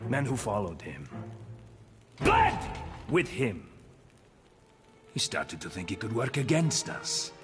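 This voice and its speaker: An actor playing a treacherous mercenary boss in a video game, mock-polite, theatrical and taunting. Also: male voice, on the low side, sing-song, takes it slowly, long pauses, soft background music. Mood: gloating menace. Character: gloating, menacing male mercenary boss, smoothly treacherous. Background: faint game score.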